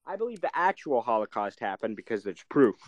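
A man's voice: mid-range voice, sing-song, brisk, crowd noise. A young man co-hosting a movie review podcast, teasing and making crude jokes in casual banter. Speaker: podcast co-host.

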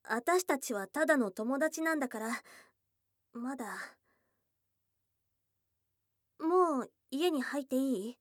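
A woman voicing a shy, affectionate young goblin girl in a Japanese ASMR voice drama, with casual tomboyish speech and a soft, hesitant delivery. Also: high voice, animated, moderate pace, no echo, studio quality. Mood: flustered. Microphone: close studio mic, dry.

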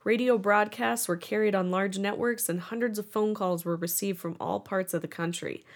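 A woman's voice: mid-range voice, steady tone, normal speed. A young woman co-hosting a casual podcast, playful and teasing, reading from research notes between laughs. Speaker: podcast co-host.

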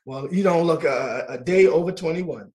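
Man, middle-aged, radio host, slightly high voice, easygoing chatter, repeats himself. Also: normal speed. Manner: warm, laughs easily, repeats words. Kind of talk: casual talk radio hosting.

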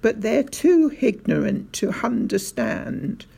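A woman voicing a brisk, sly male village police sergeant in an audiobook, hearty and mock-innocent, dropping aitches. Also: on the high side, very animated, creaky, warm tone, breathy edge, pitched above the performer's own voice, full character voice.

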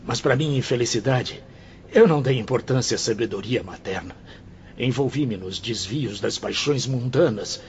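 A Brazilian actor playing a humbled former bohemian, earnest and formal, in a declamatory radio drama style. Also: male voice, medium pitch, moderate pace, a little mellow, natural voice. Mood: wistful, regretful. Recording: dull radio recording with faint noise.

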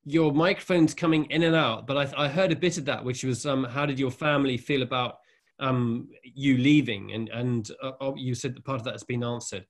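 A man hosting an online conversation event, asking gentle, measured questions and reading out questions from the audience. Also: medium pitch, fairly even intonation. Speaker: host, moderator. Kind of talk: online panel interview.

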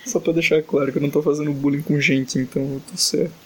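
A man's voice: on the high side, fairly even intonation, a little fast, a little hiss or hum. Tired, exasperated young man podcasting. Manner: exasperated, joking, complaining. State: tired late at night. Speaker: podcast host. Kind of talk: casual podcast chat, reading a fanfic aloud.

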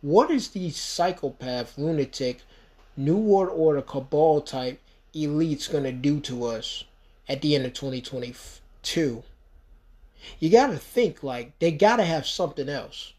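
A man's voice: fairly high pitch, expressive, a little slow, darkish tone. Rambling man in his thirties. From United States. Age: 30s. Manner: rambling, emphatic, many "you know" fillers. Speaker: podcast host. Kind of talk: solo podcast monologue.

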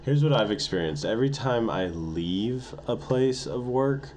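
A man's voice: mid-range voice, animated, measured pace, a crowd in the background. A man in his twenties co-hosting a podcast, playful and laid-back in casual chat. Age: 20s. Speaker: podcast co-host.

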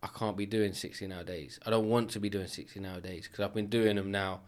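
A man in his thirties talks as a podcast guest. He is chatty and animated, racing through stories with asides. His voice sits a little low. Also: fairly quick, smooth. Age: 30s. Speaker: business owner guest. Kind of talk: casual podcast chat.

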